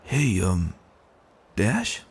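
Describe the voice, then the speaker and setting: Man playing a bashful male pegasus, good-natured, hesitant, wry, expressive pitch, storybook reading, music underneath. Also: drawn-out words, breathy edge, heavily acted. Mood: nervous. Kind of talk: dramatic reading of fan fiction.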